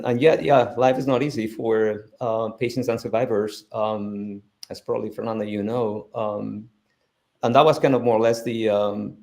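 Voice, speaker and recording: A man's voice: on the low side, darkish tone. A middle-aged man from Spain with a Spanish accent, speaking earnestly on a live stream panel, with many restarts. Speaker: foundation founder, panel guest.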